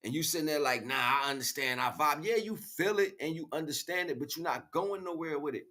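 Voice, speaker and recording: A man's voice: on the high side, animated, fairly quick, bright, forceful tone. An American man delivering an impromptu spiritual monologue to listeners, preachy and slangy, repeating phrases for emphasis. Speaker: spiritual livestream host.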